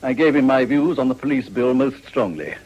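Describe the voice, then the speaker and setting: An actor playing a weary older government minister in a period radio drama, brisk and understated. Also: male voice, medium pitch, lively intonation, slightly rough, muffled recording. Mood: weary, businesslike.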